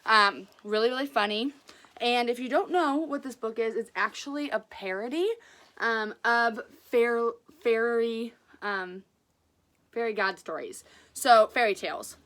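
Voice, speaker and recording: A woman reading aloud as a storyteller, casual and chatty, with an enthusiastic, expressive delivery. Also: a little high, a little slow.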